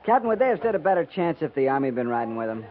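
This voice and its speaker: Earnest young lieutenant, higher-pitched voice. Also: male voice, very animated, racing, a crowd in the background. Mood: shaken, earnest. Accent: American. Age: young adult. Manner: earnest, questioning, troubled. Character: earnest young cavalry lieutenant, shaken, idealistic, dutiful. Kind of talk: 1950s American radio western acting. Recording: tinny old radio recording, busy background.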